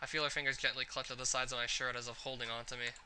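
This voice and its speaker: A man's voice: medium pitch, flat delivery, very fast, pushed and bright, slight background noise. A man narrating a visual novel aloud during a game playthrough. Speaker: gaming content creator.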